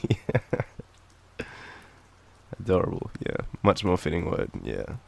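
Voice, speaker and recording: A young Australian man with a cold, coughing now and then, murmuring softly and teasingly in an intimate boyfriend roleplay. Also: low voice, sing-song, slow, vocal fry. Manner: soft, drowsy, teasing murmur.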